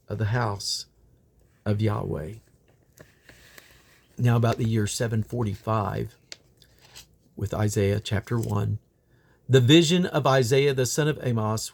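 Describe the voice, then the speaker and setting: A man's voice: mid-range voice, gravelly, darkish tone. A man reading Bible scripture aloud in old King James English, in a solemn, declamatory manner. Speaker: Bible reader.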